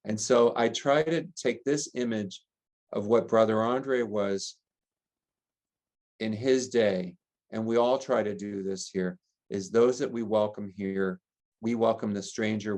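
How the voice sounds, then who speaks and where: An American man, a middle-aged priest, giving earnest, gentle interview answers and listing ideas in long chains. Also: fairly low pitch. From United States. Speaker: priest and rector, interview guest.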